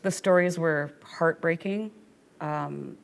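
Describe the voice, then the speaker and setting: A woman from Canada in middle age, with a somewhat low voice. She speaks sincerely and casually in conversation, with frequent "you know" fillers. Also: slow pace, vocal fry. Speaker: guest. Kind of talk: conversational interview.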